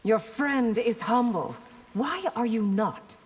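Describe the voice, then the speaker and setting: An actress playing a stern, regal warrior princess, formal and cool, in video game banter. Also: female voice, a little high, slow pace, heavy background noise. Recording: long hall reverb.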